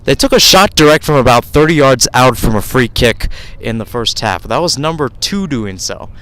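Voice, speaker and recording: A man's voice: medium pitch, lively intonation, moderate pace, fairly bright tone, no room sound, low-quality recording. A man doing live radio play-by-play of a soccer match outdoors, describing the action in short, clipped phrases. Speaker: play-by-play sports commentator.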